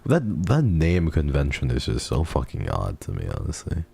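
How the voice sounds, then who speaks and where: Very deep, gravelly drunk man chatting. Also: huge pitch swings, normal speed, darkish tone, dry recording. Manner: profane, loose, drunken chat. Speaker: livestreamer, vtuber. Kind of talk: casual banter between friends.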